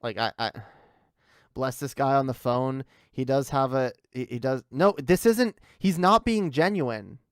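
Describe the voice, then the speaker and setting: A man's voice: mid-range voice, a little slow, dry recording, clean studio sound. A man giving casual, off-the-cuff livestream commentary, rambling and repeating himself with lots of "like" and some swearing. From Canada. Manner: rambling, repetitive, liberal use of "like" and swearing. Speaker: livestream host reacting to a video.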